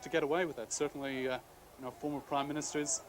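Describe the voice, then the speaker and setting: Fast-talking Irish man, hesitant. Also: on the high side, steady tone, slight background noise. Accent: Irish, softened by years in Australia. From Ireland. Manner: hesitant, many fillers and restarts. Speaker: political journalist. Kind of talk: interview answers.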